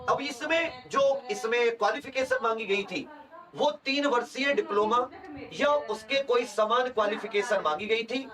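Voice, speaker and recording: High-pitched man teaching. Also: far from the mic, poor audio. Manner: emphatic repetition of key points. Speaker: exam-coaching teacher. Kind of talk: explanatory lecture to exam aspirants.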